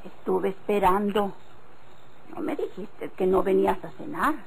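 A woman's voice: medium pitch, fairly even intonation, unhurried, gravelly, poor audio. A wary Mexican country wife in an old radio serial. Her medium voice is pointed and skeptical, admonishing as she goes. The recording has faint noise. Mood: mildly reproachful.

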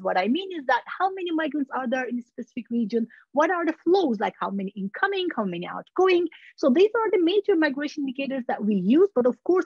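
A woman's voice: a little high, husky, no room sound. A woman, giving an academic webinar lecture over a video call, talking casually with many "you know, like" asides and self-corrections. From Turkey. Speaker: university researcher giving a webinar. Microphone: laptop video call. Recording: muffled video-call audio.

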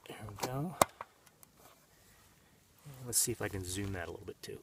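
A man's voice: fairly low pitch, animated, normal speed, slight background noise. Rambling outdoor man on a distant camera mic. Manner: rambling, conversational, many fillers and restarts. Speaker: vlogger. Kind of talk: casual how-to demonstration. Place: outdoors in open desert. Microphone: camera mic, on-camera and off-frame.

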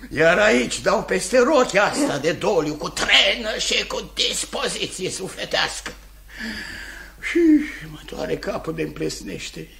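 An actor playing a boorish, hot-tempered landowner and creditor, blustering and grumbling in 1950s radio theatre style. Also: male voice, high-pitched, gravelly, pushed and bright, slight background noise, heavily acted. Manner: bellowing, blustering, grumbling to himself.

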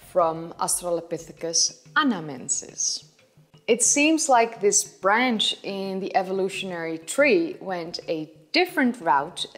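A young woman in her twenties with a Dutch accent, chattily explaining history to camera as a channel host in her living room. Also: lowish voice. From Netherlands. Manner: chatty, explaining with hand gestures. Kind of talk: educational video talk to camera.

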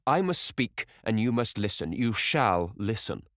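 A man's voice: on the low side, sing-song, unhurried, fairly bright tone, dry recording, clean studio sound, pitched above the performer's own voice, full character voice. A man voicing an older male painter who earnestly pleads with a friend, rising to urgent outbursts. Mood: pleading.

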